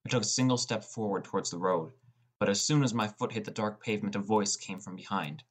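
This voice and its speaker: A man's voice: lowish voice, fast. A man reading scripted first-person story narration as an audiobook narrator.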